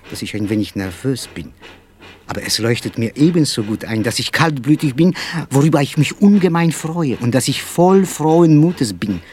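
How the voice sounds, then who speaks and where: A man's voice: medium pitch, a little mellow, close to an everyday voice. Whimsical, nervous aging poet played by a man. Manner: emphatic, mannered, courteous, circling repetitive self-talk. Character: aging, slightly nervous, whimsical poet with quirks, defiantly cheerful. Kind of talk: theatrical literary monologue with music.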